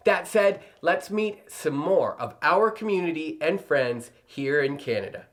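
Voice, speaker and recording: A man delivering a scripted livestream segment in an earnest, brisk way. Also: fairly high pitch, expressive, loud music behind the voice.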